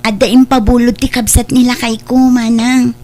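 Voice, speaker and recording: A woman's voice: a little high, level tone, normal speed, a little mellow, lightly acted. An Ilocano-accented woman playing a patient, ambitious younger sister who trades at a market, in an audio drama. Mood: placating. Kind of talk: Ilocano radio drama dialogue.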